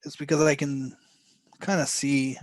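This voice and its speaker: A man running a live trading lesson, his voice hoarse and fading as he goes. He speaks slowly, in a loose, rambling way full of restarts and throat clearing. The sound is close and dry, with no room around it. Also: medium pitch, level tone, dry recording. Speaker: trading instructor.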